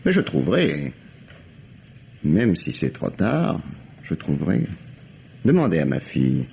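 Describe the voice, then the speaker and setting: An actor playing a weary, dogged police detective in a vintage French radio drama. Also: male voice, rumbling low, wildly swooping pitch, a little slow, gravelly, dark tone, dull audio, slight background noise, exaggerated voice. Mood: wry, determined.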